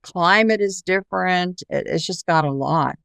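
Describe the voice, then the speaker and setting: A woman's voice: on the low side, a little slow, gravelly, fairly bright tone, clear, resonant tone, no room sound, studio quality. A woman hosting a podcast, talking casually as she interviews a guest.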